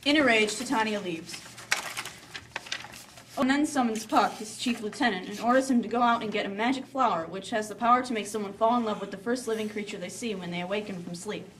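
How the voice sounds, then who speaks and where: A woman's voice: medium pitch, a little fast, a bit bright. A woman narrating a story from a script, with playful asides. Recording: slightly distant, faint background noise.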